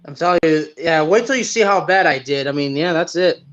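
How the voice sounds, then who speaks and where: A man's voice: on the high side, fairly quick, lo-fi sound. A man around thirty co-hosting a sports podcast, chatting casually and bluntly, repeating himself for emphasis. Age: late 20s to early 30s.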